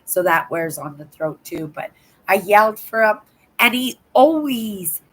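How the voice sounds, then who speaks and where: An older woman from Canada hosting a livestream, chatting casually with viewers in a hoarse voice, rambling and tagging "right?" onto her sentences. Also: mid-range voice, expressive, measured pace, low-quality recording. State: hoarse from a sore throat. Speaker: livestream host. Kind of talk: casual live chat to viewers.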